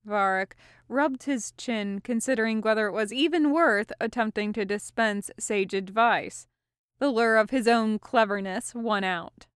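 A woman narrates a story from a script. Her voice is somewhat high, her pace leisurely, and the recording is dry with very little room around it. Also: unhurried, clean tone, no echo. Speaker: amateur audiobook reader.

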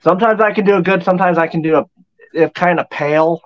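A man's voice: high-pitched, fast. Slightly nasal man on dull audio. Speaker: guest, fan group admin. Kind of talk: casual chat.

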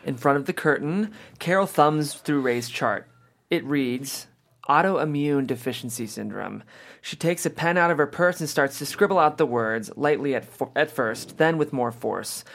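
A man's voice: fairly high pitch, moderate pace, a bit bright. A man narrating scene directions from a screenplay at a table read, in scripted narration.

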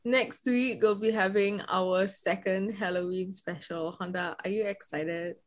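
A woman's voice: medium pitch, steady tone, measured pace. A young woman from Singapore, hosting a podcast in casual, giggly chat full of fillers. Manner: chatty, laughs often, lots of "like" fillers. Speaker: podcast host.

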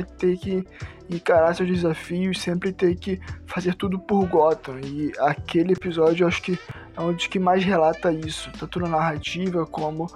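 A man's voice: high voice, a little mellow. A Brazilian-accented man giving casual recommendations as a podcast panelist.

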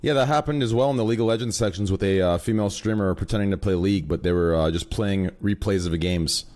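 Rambling young streamer, talks to chat. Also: male voice, fairly low pitch, fast, a little mellow. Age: 20s. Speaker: streamer, host.

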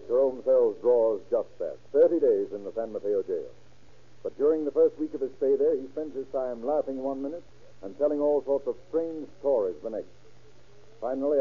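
A man's voice: mid-range voice, husky, lightly acted. A man narrating an old radio crime drama in a formal, dramatic scripted style. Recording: tinny vintage radio recording.

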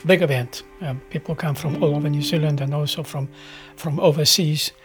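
Older Polish-accented man, higher-pitched. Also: fairly even intonation, brisk, music in the background. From Poland. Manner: hesitant with many restarts, wry. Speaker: interviewee. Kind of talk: reflective personal talk.

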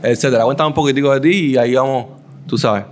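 A man's voice: medium pitch, quick pace, a little hiss or hum. A man hosts a laid-back talk show over drinks. He teases his guest, stumbles into repeated words and leans on street slang, in an ordinary mid-range male voice. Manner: playful, teasing, repeats himself, lots of slang. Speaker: podcast host. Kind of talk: casual interview over drinks.

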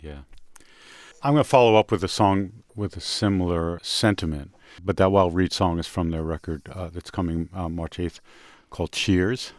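A man's voice: deep voice, normal speed, no echo, studio quality. A man hosting a music show, chatting casually and introducing songs in an enthusiastic, rambling way, as if thinking aloud.

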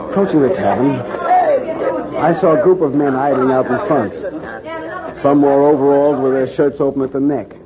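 Guy doing an earnest reporter telling his story, steady mid voice, music behind, dull old radio sound. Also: medium pitch, lightly acted. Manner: earnest, measured. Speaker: narrator and lead. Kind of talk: 1940s radio acting.

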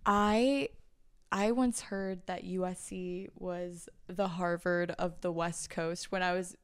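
Chatty young woman guest from China, smooth voice, lots of ums and giggles. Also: fairly low pitch, fairly even intonation, takes it slowly. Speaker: podcast guest. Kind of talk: casual interview chat.

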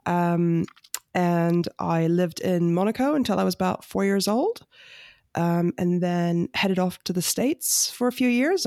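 A woman's voice: on the low side, dark tone, no echo, clean studio sound. A woman in her thirties from France, chatting casually as an interview guest, with many fillers and easy laughter. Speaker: family lawyer, podcast guest.

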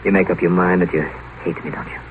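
Actor as a suave schemer on tinny radio. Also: male voice, deep voice, flat delivery, fast talker, lightly acted. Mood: smooth, teasing. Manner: suave, mocking, taunting. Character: smug, sardonic scheming male doctor and seducer. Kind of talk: 1950s radio drama acting. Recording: tinny, hissy 1950s radio broadcast.

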